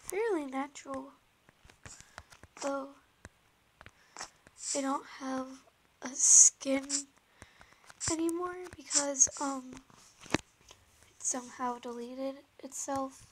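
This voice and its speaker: A woman's voice: high-pitched, slow pace, pauses often. A woman with a sore, scratchy throat, chatting through a game in a hesitant way with many ums, sometimes dropping to a whisper. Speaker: gameplay commentator. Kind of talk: casual game commentary. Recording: loud background hiss with tapping clicks.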